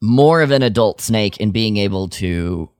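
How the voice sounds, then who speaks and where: A man with a slightly low voice, recorded dry with no room sound. He talks casually as a podcast co-host, explaining his thoughts in a conversational way. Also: expressive, a little slow, a little mellow, clean tone, no room sound.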